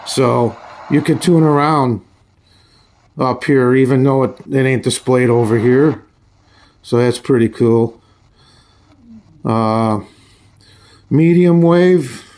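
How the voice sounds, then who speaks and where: A man giving a casual, hands-on review in a halting, folksy way, often restarting his phrases. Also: medium pitch, darkish tone. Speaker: radio reviewer. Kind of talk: casual hands-on product demonstration.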